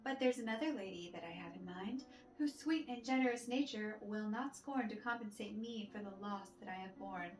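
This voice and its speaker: A woman's voice: mid-range voice, normal speed, far from the mic, pitched below the performer's own voice, lightly acted. A woman voicing a proud, wounded young man in theatrical rhyming verse, as in a storybook play. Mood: wounded pride. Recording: roomy echo with music behind.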